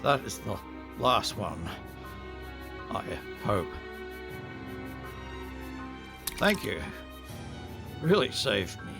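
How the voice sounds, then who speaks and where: A man acting a weary, grave knight in command, speaking deliberately with a gravelly voice in an audio drama. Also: on the low side, sing-song, exaggerated voice. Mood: exhausted relief. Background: music.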